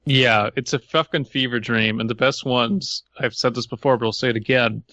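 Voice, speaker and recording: A man co-hosting a comedy podcast, tossing in crude quick jokes. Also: mid-range voice, steady tone, sharp tone, no room sound, lo-fi sound. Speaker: podcast co-host. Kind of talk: casual comedic banter.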